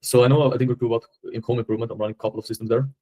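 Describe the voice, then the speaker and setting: A man with a Slovenian accent talks through a sales conversation at a very rapid clip. His pitch sits in the middle, and his phrasing is informal, often circling back on itself. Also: flat delivery, dark tone. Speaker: agency owner on a sales call.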